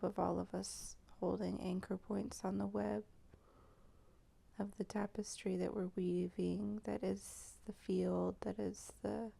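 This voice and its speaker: A woman guides a meditation in a very creaky, gravelly-edged soft voice that often drops toward a whisper. Her delivery is slow and halting, with long pauses as she improvises. The tone is mellow and stays fairly even. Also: mid-range voice.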